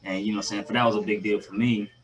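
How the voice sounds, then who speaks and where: A man's voice: fairly low pitch, level tone, very fast. A man sharing candid personal stories as a guest, often checking in with "you know what I'm saying.". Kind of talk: casual conversation.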